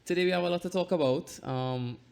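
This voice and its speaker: A man's voice: high-pitched, fast talker, gravelly, a little mellow. A man hosting a podcast in a casual interview style. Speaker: podcast host.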